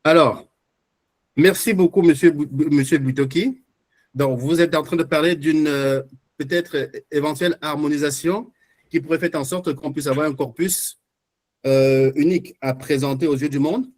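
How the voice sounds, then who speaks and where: A man's voice: a little high, fairly even intonation, moderate pace, darkish tone. A man moderating a panel discussion, who thanks the speakers and puts forward his own argument in a sure tone. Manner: fluent, assertive, argumentative.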